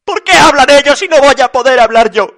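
A man's voice: sits high, very animated, a little slow, sharp tone, voice pushed up, exaggerated voice. A man voicing an indignant talking pet dog in a comic story.

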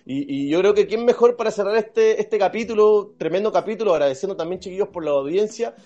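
A man's voice: sits high, animated, fast talker, dark tone. A man with a Chilean accent chatting casually as a podcast co-host, often repeating words as he searches for the next. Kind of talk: casual chat. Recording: dull lo-fi audio, music behind. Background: background music.

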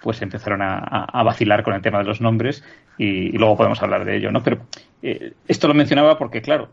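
A man's voice: on the low side, fast talker, creaky, breathy edge, highs cut off. A man from in a casual film discussion on a podcast, explaining at length and checking for agreement. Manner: talkative, explanatory, seeks agreement with tag questions. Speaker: podcast panelist.

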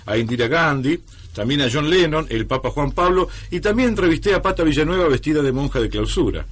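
An actor playing a smooth-talking superhero posing as a rookie journalist, with an Argentine accent, in a comic radio serial. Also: male voice, a little high, expressive, a little fast. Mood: proudly casual. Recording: noisy 1990s FM radio recording.

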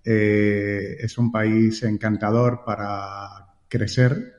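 A man from Cuba with a Cuban accent, answering interview questions in a relaxed way, often repeating words and pausing with "eh" while he thinks. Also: on the low side. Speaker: guest. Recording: roomy echo, long reverb, dull lo-fi sound.

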